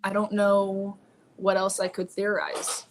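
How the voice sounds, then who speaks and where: A woman's voice: mid-range voice, completely flat delivery, slow. A woman chatting casually and informally as a guest host on a book discussion live stream, exclamatory and often feeling her way through thoughts. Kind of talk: casual chat. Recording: clearly noisy room ambience.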